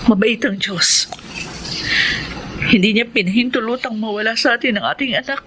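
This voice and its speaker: A woman's voice: on the high side, moderate pace, background noise, close to an everyday voice. An actress playing a remorseful, tender wife and mother in a radio drama, with a heartfelt, emotional delivery. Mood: grave.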